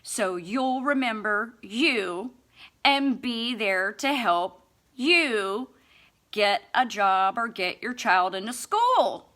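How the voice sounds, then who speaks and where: A woman with a fairly high, bright and forceful voice reads a book aloud at an unhurried pace. Her delivery is theatrical and emphatic, laced with mock-earnest sarcasm. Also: animated. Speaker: amateur audiobook reader. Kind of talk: expressive scripted book reading.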